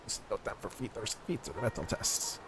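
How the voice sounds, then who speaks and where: A man's voice: fairly low pitch, a little fast, a bit bright, soft background music, natural voice. A man voicing a folksy little goblin-like villager from a video game, with garbled, oddly phrased speech full of doubled words. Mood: earnest, troubled. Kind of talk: reading game dialogue aloud in character.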